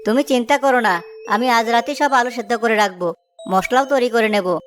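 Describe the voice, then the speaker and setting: A woman's voice: on the high side, normal speed, lightly acted. A woman voicing an honest, hardworking married village man who sells samosas, earnest and emotional, in a moral-story cartoon. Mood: excited, hopeful. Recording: music behind the voice.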